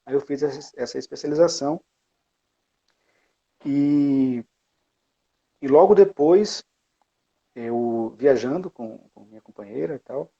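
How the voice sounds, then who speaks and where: A man's voice: medium pitch, unhurried, creaky, long pauses, mellow, husky, lo-fi sound. A man from Bahia, talking as an interview guest about his path as a music educator, reflective and full of fillers and restarts. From Brazil, Bahia. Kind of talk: casual interview talk.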